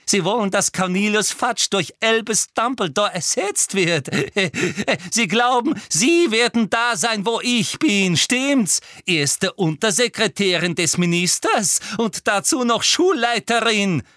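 A man's voice: expressive, measured pace, a bit bright, no room sound. A man voicing a small, spiteful, prissy woman official in an audiobook, with an affected, indignant manner. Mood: shrill, ranting. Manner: affected, shrill, cold, indignant. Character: small, prissy, spiteful middle-aged female ministry official. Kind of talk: audiobook character acting.

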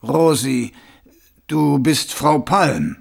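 A man voicing a dazed, weary middle-aged watchman in an audiobook, halting and muttering as he talks. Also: on the high side, sing-song, gravelly, slightly rough, full character voice. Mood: dazed recognition. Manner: halting, muttering, absent-minded.

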